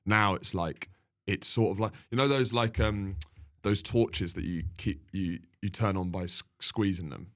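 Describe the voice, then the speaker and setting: A man in casual conversation as a podcast guest and comedian, wry and bantering, quick to laugh. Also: low-pitched.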